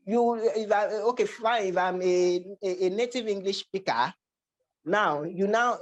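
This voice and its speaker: Young Nigerian-accented research presenter, mid-pitched, hesitant, given to restarts and repeated words. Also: a little slow. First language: Yoruba. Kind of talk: academic slide presentation.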